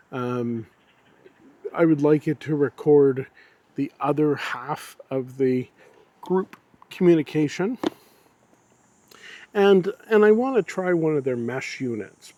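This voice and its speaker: Mellow-voiced man giving a casual review. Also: medium pitch, no echo. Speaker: product reviewer and video creator. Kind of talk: casual unscripted product review.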